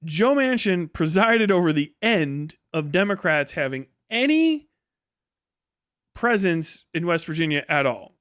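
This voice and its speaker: A man's voice: a little high, big pitch swings, a little slow, fairly bright tone, smooth, no echo, clean studio sound. A man giving casual, ranting live-stream political commentary in a profane, scornful, emphatic way, often repeating phrases for emphasis.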